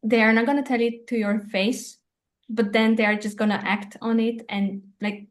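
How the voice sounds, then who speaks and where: A woman's voice: a little high, fairly even intonation, moderate pace. A woman from Romania, a native Romanian speaker, chatting casually and frankly in a discussion. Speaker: participant in a discussion. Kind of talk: casual chat.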